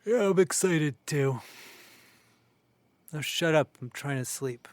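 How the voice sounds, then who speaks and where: A man's voice: fairly high pitch, expressive, takes it slowly, no echo. A young man acting as a blunt, teasing friend, with casual audio drama delivery. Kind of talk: naturalistic audio drama dialogue.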